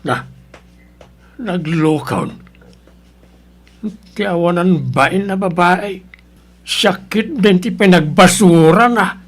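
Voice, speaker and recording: A man's voice: high-pitched, drawn-out words, pauses often, exaggerated voice. A man with an Ilocano accent playing a grumbling neighbour in an audio drama. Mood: annoyed. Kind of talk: radio drama acting.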